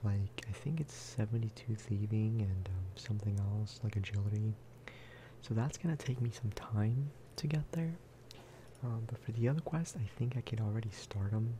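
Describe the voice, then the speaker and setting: A man giving a relaxed, casual ASMR gameplay commentary in a soft, breathy whisper, close to the microphone. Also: on the low side, slight background noise. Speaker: video game streamer and host.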